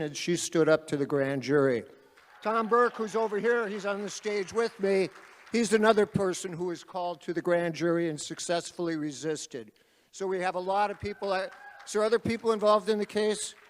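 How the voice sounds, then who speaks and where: A man moderating a panel at an activist conference, speaking loudly and calling out to the crowd like a rally speaker. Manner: loud, booming, calls out to the crowd. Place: large conference hall. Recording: reverberant hall.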